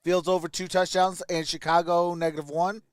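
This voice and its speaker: A man's voice: high-pitched, level tone, a little fast, no room sound, clean studio sound. A man hosting a sports livestream, chatting casually and commenting as he answers viewers. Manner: greets viewers by name, conversational.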